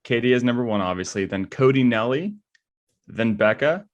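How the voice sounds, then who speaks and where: A man's voice: medium pitch, animated, normal speed, lo-fi sound. A young man co-hosting a podcast in casual conversation. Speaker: podcast co-host. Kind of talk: casual chat.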